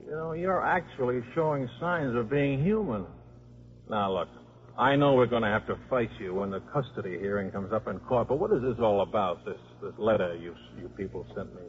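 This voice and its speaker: An actor playing a wisecracking, scheming football coach and father, brisk and slangy, in a 1950s radio drama. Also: medium pitch, a little hiss or hum, lightly acted. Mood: sardonic, irritated. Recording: muffled vintage 1950s radio broadcast.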